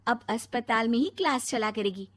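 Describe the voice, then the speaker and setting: Woman playing a high, eager young daughter. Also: normal speed, heavy background noise, natural voice. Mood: excited. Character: cheerful, dutiful eldest daughter, young working student. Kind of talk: cartoon story dialogue.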